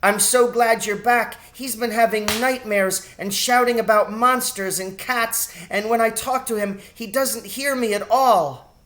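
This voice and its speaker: A man's voice: high voice, fairly even intonation, unhurried, a bit bright, pitched above the performer's own voice. A man voicing a young mouse girl from a storybook, an earnest and anxious sister who frets. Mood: anxious relief. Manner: fretful, earnest.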